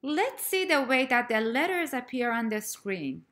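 A woman reads a scripted language lesson at an unhurried, deliberate pace. Her voice sits a little high, and she spells out each letter name with care, like a patient teacher. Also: big pitch swings, a bit bright. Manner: slow, careful, clearly spelled-out letter names. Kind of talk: scripted narration of a slide lesson.

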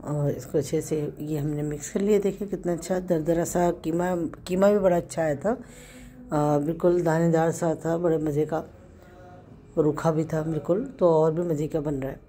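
A woman with a Pakistani Urdu accent and a hoarse, sore throat, chatting through a home recipe step by step and correcting herself as she goes. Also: deep voice, fairly even intonation, normal speed, dark tone. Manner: chatty, explains step by step with small self-corrections. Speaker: home cook host. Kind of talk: casual cooking narration.

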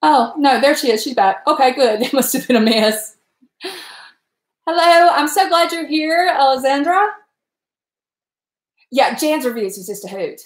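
A woman's voice: high voice, expressive, measured pace, pauses often. Folksy Virginia woman in an echoey kitchen. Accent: Appalachian Virginia. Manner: folksy, rambling, talks to chat viewers. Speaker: cooking live streamer. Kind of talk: chatty live-stream cooking talk. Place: home kitchen at night. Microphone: webcam at stove.

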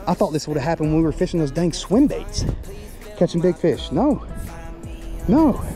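A young man vlogging while fishing outdoors by the water, excitable and quick to laugh. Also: on the high side, music in the background. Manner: excitable, shouting and laughing at catches.

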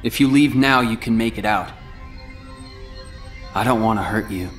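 A man's voice: on the low side, normal speed, soft background music, close to an everyday voice. A young man playing a brooding, self-sacrificing teenage boy warrior in a game cutscene, grave and restrained. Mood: grave, urgent. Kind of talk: video game cutscene acting.

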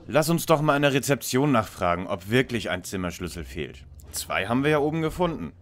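Medium-pitched male, animated intonation, actor as pompous know-it-all detective, precise, polite, parody radio play. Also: a little fast, natural voice. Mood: matter-of-fact. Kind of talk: parody audio drama acting.

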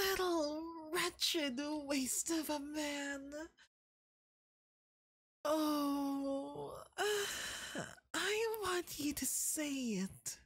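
Woman acting a very high-pitched yandere werewolf boy. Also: dry recording, heavily acted. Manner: theatrical, breathy laughs, swinging between sobbing and menace. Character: deranged, lovesick young male yandere werewolf captor. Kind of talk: ASMR roleplay, dramatic monologue.

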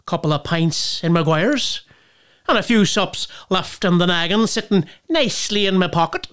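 Boozy Irish wanderer, colloquial and nostalgic, stage monologue with animated, wide-ranging pitch. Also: female voice, normal speed. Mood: wry, tipsy. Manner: wistful, colloquial rambling with bursts of playful mimicry. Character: boozy, nostalgic Irish drinker nicknamed the captain, rambling home. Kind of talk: theatrical dramatic monologue.

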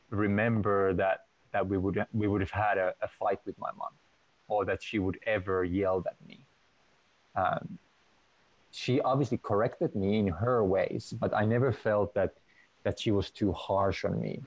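Deep-voiced Finnish man on a video call. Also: darkish tone, no room sound, low-quality recording. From Finland. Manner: halting, many restarts and fillers. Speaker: guest. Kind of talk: casual video call chat. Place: home room.

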